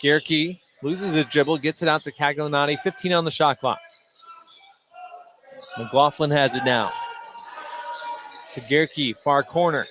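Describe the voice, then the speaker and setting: A man's voice: fairly high pitch, steady tone, unhurried, pauses often. Male basketball play-by-play man, noisy gym. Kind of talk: live radio sports commentary. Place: indoor gym at a basketball game. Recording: clear gym noise behind the voice. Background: basketball bounces and gym ambience.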